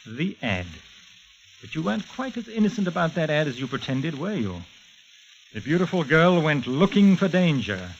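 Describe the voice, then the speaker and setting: An actor playing a smooth, condescending murderous villain in a 1940s radio thriller. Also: male voice, on the high side, very animated, moderate pace. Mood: mocking. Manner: suave, mocking, condescending. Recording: muffled, hissy 1940s radio recording.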